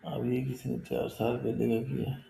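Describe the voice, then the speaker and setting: A man's voice: mid-range voice, level tone, normal speed, creaky, mellow, slight background noise. A man teaching a live online class, explaining step by step in a conversational way and often checking that his students follow. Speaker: instructor. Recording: echoey room.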